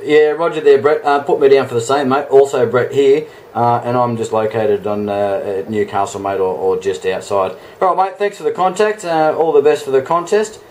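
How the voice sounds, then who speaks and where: A man's voice: medium pitch, normal speed, hardly any pauses, a little mellow, a little hiss or hum. An Australian man chatting casually as an amateur radio operator, with a matey, laid-back way of talking. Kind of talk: casual two-way radio chat.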